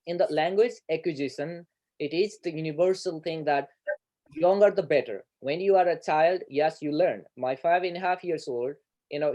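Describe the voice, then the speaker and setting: A man's voice: a little high, dark tone, muffled recording. A man from Nepal with a Nepali accent, answering questions in an online education talk. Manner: earnest, repeats words, asks "right?". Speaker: guest speaker, educator. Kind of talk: online lecture Q&A.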